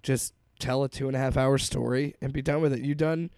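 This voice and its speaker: A man co-hosting a casual podcast chat, hoarse from a cold, rambling and restarting his sentences. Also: medium pitch, steady tone, fairly quick, darkish tone, dry recording, clean studio sound. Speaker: podcast co-host.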